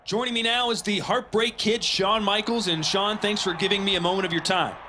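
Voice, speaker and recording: Male actor as backstage interviewer, high-pitched, polite, broadcast delivery, noisy recording, wrestling video game. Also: steady tone, fairly quick, close to an everyday voice.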